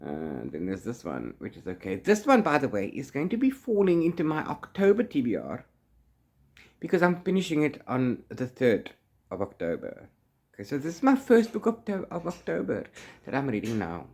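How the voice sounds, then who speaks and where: A man with a mid-pitched, highly animated voice talks in a loose, chatty vlog style. He rambles and plays up his phrases theatrically, his intonation leaping up and dropping down. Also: normal speed. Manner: rambling, trails off into blah blah and la-la fillers. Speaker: book vlogger and reviewer. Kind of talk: casual, chatty reading vlog.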